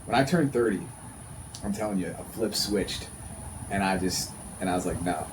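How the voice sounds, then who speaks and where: A man in his thirties with a fairly low voice chats as a podcast host. He is earnest and emphatic, circling back over his phrases. There is faint background noise in the recording. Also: normal speed. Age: 30s. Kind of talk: casual conversational podcast talk.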